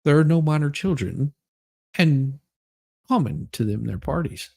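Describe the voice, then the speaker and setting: A man's voice: on the high side, animated, a little slow, gravelly, a little mellow. A man giving a comedic dramatic reading of a legal document, theatrical and folksy, with mocking asides. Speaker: streamer performing a dramatic reading.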